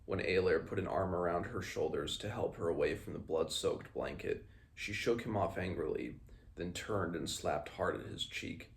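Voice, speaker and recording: A man hosting a read-along, reading a fantasy novel aloud and adding informal recap, measured in the reading and hesitant in his comments. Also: sits low, gravelly. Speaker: book read-along host.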